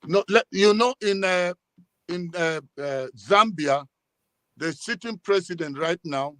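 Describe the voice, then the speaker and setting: A high-voiced man with a Nigerian accent gives political commentary in a live discussion. He speaks emphatically, with stops and repetitions, and his sound is close and dry. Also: measured pace, a bit bright, clean tone, no echo.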